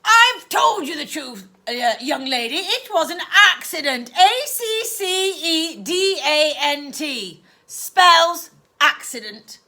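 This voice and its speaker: Shrill storybook villain aunt, put on by a woman reader: loud, clipped, syrupy-sweet, bright edge. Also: sing-song, a little slow, heavily acted. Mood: theatrical menace. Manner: loud, clipped, sickly-sweet baby talk. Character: shrill scheming cruel older aunt.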